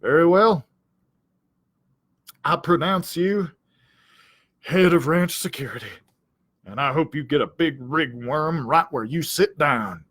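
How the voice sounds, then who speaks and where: Male reader as self-important cowdog in a children's tale; blustery, halting, very animated pitch, dry sound. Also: no echo, exaggerated voice. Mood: indignant. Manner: blustering, hesitant, stammering, pompous. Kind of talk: children's storybook character voice.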